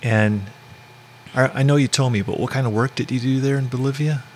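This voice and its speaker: A man's voice: mid-range voice, fairly even intonation, brisk, a little hiss or hum. A male podcast host asking questions in a hesitant way, with many fillers and restarts. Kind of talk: casual interview.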